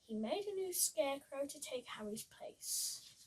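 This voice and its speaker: A female reader giving an animated, slightly halting read-aloud of a children's story. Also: sits high, a little hiss or hum. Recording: voice far from the mic.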